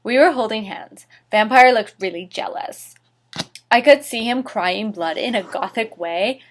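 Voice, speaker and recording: A woman's voice: on the high side, a little slow, a little hiss or hum. A woman reading fan fiction dramatically as host, with a sarcastic, theatrical manner and mocking asides. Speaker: host and reader of a series.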